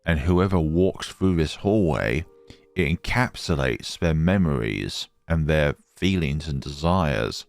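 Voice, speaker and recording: A man's voice: very deep voice, lively intonation, slow, creaky, dry recording. A man hosting a let's play, commenting on a visual novel and reading its text aloud. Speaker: gaming video host.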